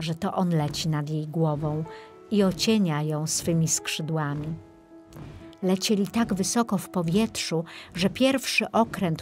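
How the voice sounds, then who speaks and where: Woman, fairy-tale narrator, slightly low pitch, measured and expressive, faint music underneath. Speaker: main narrator of a children's audiobook.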